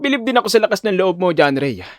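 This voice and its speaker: A man's voice: high voice, big pitch swings, fast, dry recording, studio quality. Man playing a sneering, arrogant rich schoolboy. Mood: taunting. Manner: sneering, condescending. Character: arrogant, scornful rich male high school student.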